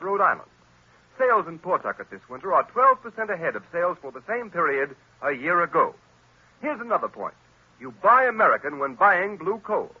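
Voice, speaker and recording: A man's voice: sits high, breathy edge. A male announcer reading a persuasive sponsor message on an old radio program. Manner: persuasive, emphatic. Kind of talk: radio commercial read. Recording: dull lo-fi old radio recording.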